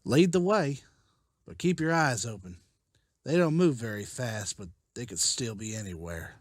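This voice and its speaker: Survivor leader, male actor: commanding and watchful man, lively intonation, close dry sound, comic-book drama. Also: mid-range voice, moderate pace, no echo, natural voice. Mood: wary. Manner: decisive, guarded.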